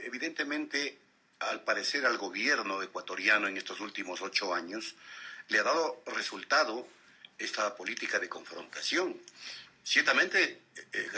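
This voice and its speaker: A man's voice: lowish voice, pushed and bright, breathy edge. A man with an Ecuadorian accent hosting a formal radio interview, courteous and wordy.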